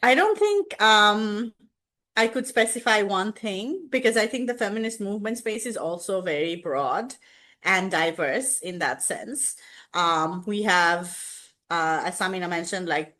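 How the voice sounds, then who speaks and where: A woman from Bangladesh answering an interview question in a measured way, with "you know" and "um" along the way. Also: mid-range voice, fairly bright tone. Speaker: guest, activist.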